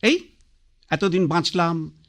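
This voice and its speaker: An actor playing a proud, stubborn man past eighty, ill in bed and brooding over his life, in a radio play with gruff, slow reflective speech. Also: on the high side, a bit bright. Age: older. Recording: broadcast radio play with faint music.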